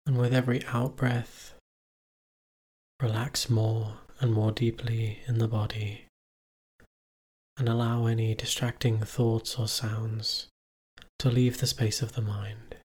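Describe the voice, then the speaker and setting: A man leading a guided meditation as a teacher, giving calm, measured instructions with long pauses between phrases. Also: on the low side, slightly rough.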